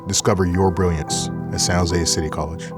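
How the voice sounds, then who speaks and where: A man's voice: low voice, flat delivery, fairly quick. A man delivering scripted promotional narration. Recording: loud music bed under voice.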